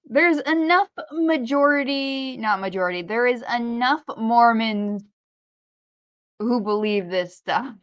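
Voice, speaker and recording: A woman's voice: a little high, slow, no echo, lo-fi sound. A woman co-hosting a podcast, talking in casual, conversational chat. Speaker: podcast co-host.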